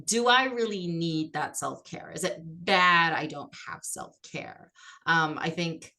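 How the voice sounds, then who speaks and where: A woman's voice: lowish voice, slow, bright, forceful tone. A woman hosting a casual interview chat, friendly and chatty, with plenty of fillers as she asks questions. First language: not French. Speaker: host.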